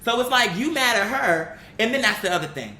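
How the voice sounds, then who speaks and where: A woman's voice: fairly high pitch, expressive, a little fast, sharp tone. A woman giving blunt, opinionated casual commentary in an exasperated, emphatic way, swearing freely and arguing through rhetorical questions. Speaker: online commentator. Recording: echoey room with faint background noise.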